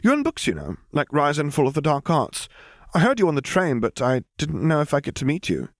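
Man putting on a high-pitched bossy bookish schoolgirl. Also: wildly swooping pitch, brisk, no echo, clean studio sound. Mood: excited. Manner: breathless, emphatic, overbearing. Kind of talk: audiobook character voice.

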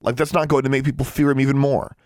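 A man's voice: medium pitch, big pitch swings, quick pace, no room sound, studio quality, pitched above the performer's own voice. A man voicing a defiant, clever thirteen-year-old girl in an audiobook, insistent and indignant. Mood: accusing.

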